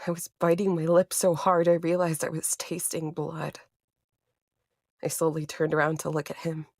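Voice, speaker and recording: A woman's voice: sits low, little pitch movement, moderate pace, a little mellow, no room sound, clean studio sound. A woman playing an anxious, haunted but determined character who narrates her own horror story in a tense, confiding way. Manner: tense confiding first-person narration, emotional. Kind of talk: horror audio drama narration and acting.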